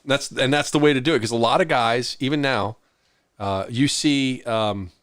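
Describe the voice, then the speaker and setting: A man's voice: mid-range voice, normal speed, fairly bright tone, clear, resonant tone, no room sound, clean studio sound. A middle-aged man co-hosting a podcast, chatting casually and telling stories in an informal way, acting out bits of dialogue. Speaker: podcast co-host. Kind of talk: casual chat, reminiscing.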